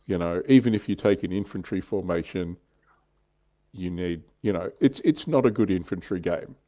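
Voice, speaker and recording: A man with a low-pitched, soft-edged voice talks as a livestream co-host. He chats casually about his hobby, explaining his views with conviction in a dark, relaxed tone. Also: moderate pace. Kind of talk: casual hobby chat about tabletop wargames.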